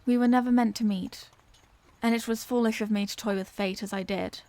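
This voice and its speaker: A woman's voice: a little high, steady tone, fairly quick, a little mellow, lightly acted. A woman voicing an anguished, tender Victorian lady vampire in an intimate audio roleplay, speaking in formal, earnest phrases. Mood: sorrowful, tender. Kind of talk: scripted audio roleplay, intimate dramatic monologue.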